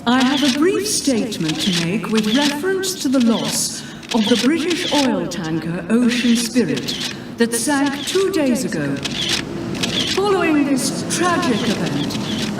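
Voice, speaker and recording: A woman's voice: a little high, sing-song, measured pace. Echoey, distant woman playing a grave government spokesperson. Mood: grave, official. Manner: formal, grave, declamatory. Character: grave, official government spokesperson at a press briefing. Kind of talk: formal scripted statement, radio play acting. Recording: echoey, distant, noisy press room sound. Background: press conference ambience with camera shutters.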